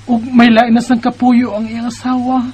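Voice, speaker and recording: A woman's voice: on the high side, monotone, moderate pace, warm tone, breathy edge. A woman with a Bisaya Filipino accent playing a distressed, plaintive young mother in a radio drama. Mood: sad, troubled. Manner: plaintive, emotional. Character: distressed young mother, live-in partner of a married man. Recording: music behind the voice.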